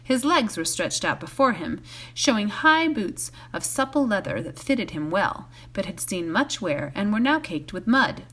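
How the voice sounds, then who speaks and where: A woman reading a storybook aloud as a volunteer, expressive, occasionally stumbling and correcting herself. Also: medium pitch, a little slow. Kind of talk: read-aloud storybook narration.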